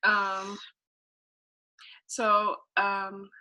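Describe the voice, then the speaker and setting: A young woman hosts a podcast interview, asking her questions slowly. She hesitates often and fills the gaps with plenty of ums. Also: medium pitch, monotone, sharp tone, low-quality recording. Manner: hesitant, with many fillers. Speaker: student interviewer, podcast host.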